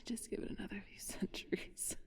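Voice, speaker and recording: A woman playing an ancient, flirtatious and haughty vampire thief in an ASMR audio roleplay, weakened by a wound and speaking in a breathy, husky whisper. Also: natural voice. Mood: flirtatious, teasing. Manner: slow, teasing, haughty, with theatrical pauses.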